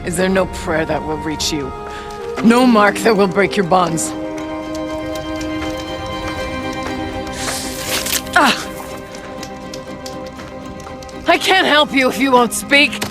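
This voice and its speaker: A woman voices an anguished female shaman from a game. Her speech is formal, solemn and full of devotion, at a middling pitch. Music runs clearly behind the voice. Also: expressive, normal speed, natural voice. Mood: pleading, anguished. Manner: solemn, fervent, formal phrasing. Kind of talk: video game voice acting.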